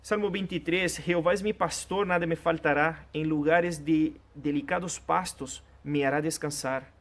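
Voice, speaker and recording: A man's voice: a little high, fairly even intonation, normal speed. A man whose first language is Portuguese, preaching a sermon in an earnest, direct way and addressing the listener personally. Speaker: pastor.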